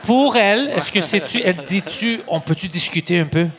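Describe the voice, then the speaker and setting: A man's voice: high voice, lively intonation, moderate pace, a bit bright. A man whose first language is Greek, hosting a casual chat and teasing his guest with pointed questions.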